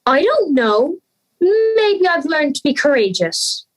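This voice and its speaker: A woman's voice: sits high, sing-song, takes it slowly, faint music behind, exaggerated voice. A young woman playing an earnest, anxious schoolgirl in an audio drama. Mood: bemused, apologetic.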